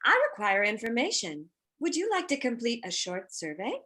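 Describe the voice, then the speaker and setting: A girlish, inquisitive alien creature, acted by a woman in a comedy table read. The voice is fairly high and husky, with lively pitch and oddly formulaic, officious phrasing. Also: normal speed, exaggerated voice. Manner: formulaic, survey-taker phrasing.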